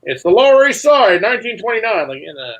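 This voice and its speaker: A man's voice: high-pitched, sing-song, fast, fairly bright tone, low-quality recording. Man in casual interview chat. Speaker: guest, audio drama creator.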